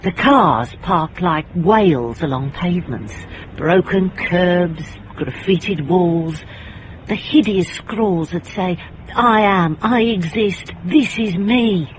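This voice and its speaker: An actress with a British accent playing a brisk, bossy, wry private investigator in a radio drama. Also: female voice, fairly low pitch, a little hiss or hum, poor audio. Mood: brooding. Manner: brisk, commanding, teasing. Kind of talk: radio drama acting with noir monologue.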